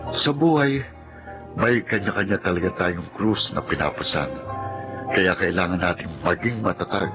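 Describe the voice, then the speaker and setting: A man playing an earnest, reflective nephew who supports his family, in a Filipino radio drama. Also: low voice. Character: adult nephew, burdened but accepting family breadwinner. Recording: music under the voice.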